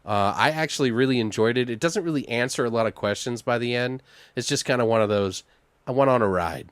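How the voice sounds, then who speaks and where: Man, thirties, podcast co-host, medium pitch, slangy and sweary, casual chat, dry studio room. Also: fairly quick, clean tone, no echo. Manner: animated slangy talk with frequent swearing.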